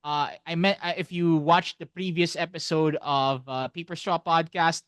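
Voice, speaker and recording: A man co-hosting a casual podcast, explaining things haltingly and often circling back to repeat phrases. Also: on the high side, steady tone, a bit bright, clear, resonant tone, no room sound, studio quality. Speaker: podcast co-host.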